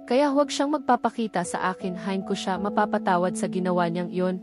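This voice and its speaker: A woman's voice: mid-range voice, a little fast, lightly acted. A woman with a Filipino accent voicing an earnest, hurt young woman confiding at length in an audiobook story. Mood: indignant. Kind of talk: audiobook dialogue. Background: background music.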